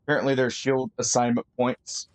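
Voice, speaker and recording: A man streaming a game live, thinking aloud with pauses and fillers and chatting to his viewers. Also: mid-range voice, little pitch movement. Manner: friendly sign-off to viewers. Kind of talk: live gameplay commentary.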